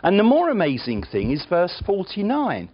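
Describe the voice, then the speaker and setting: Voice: mid-range voice, huge pitch swings, normal speed, husky, highs cut off. A preacher delivering a sermon, emphatic and earnest, with chatty, self-deprecating asides to the congregation.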